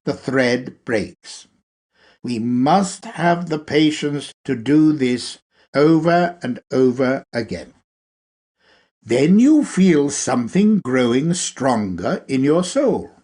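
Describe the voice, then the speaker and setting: A man reading a spiritual lecture aloud in a measured, emphatic manner. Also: medium pitch, expressive, darkish tone. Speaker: reader of a lecture.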